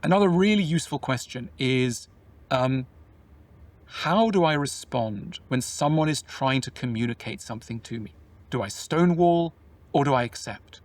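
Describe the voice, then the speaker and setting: A man talking in a measured, explanatory way about relationships, often posing questions to the listener. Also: medium pitch, animated, far from the mic. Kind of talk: reflective conversational talk.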